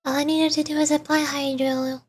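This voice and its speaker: A woman's voice: high-pitched, flat delivery, brisk, a little hiss or hum. A woman streaming a video game, giving casual commentary and thinking aloud in short bursts.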